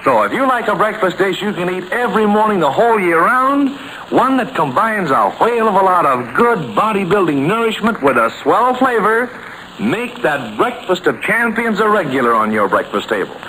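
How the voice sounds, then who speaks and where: A man's voice: high-pitched, very animated, moderate pace, sharp tone. A man announcing in hearty, emphatic 1940s radio style on a noisy vintage recording. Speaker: announcer and narrator.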